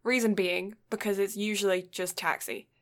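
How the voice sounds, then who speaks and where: A woman's voice: medium pitch, steady tone, moderate pace. A woman chatting casually on a podcast, rambling along and laughing easily. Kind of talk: casual chat.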